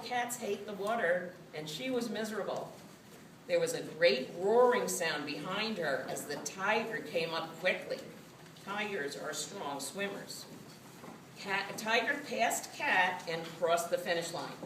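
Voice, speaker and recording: A woman reading a children's story as scripted narration, with an expressive storytelling delivery. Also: medium pitch, a little slow. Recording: roomy, distant mic, faint noise.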